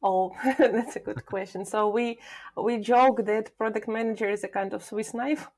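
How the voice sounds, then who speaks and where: Creaky-voiced woman. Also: mid-range voice, steady tone, moderate pace, darkish tone. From Ukraine. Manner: thoughtful, with many filler sounds and restarts. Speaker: guest, product manager. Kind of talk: podcast interview.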